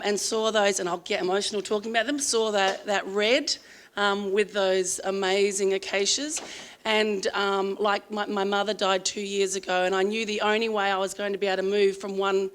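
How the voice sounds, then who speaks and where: A woman's voice: mid-range voice, fairly even intonation, normal speed, fairly bright tone. A middle-aged Australian woman giving a conference talk in a chatty, earnest way, full of ums, her voice a little strained from singing. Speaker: conference speaker. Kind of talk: conference presentation.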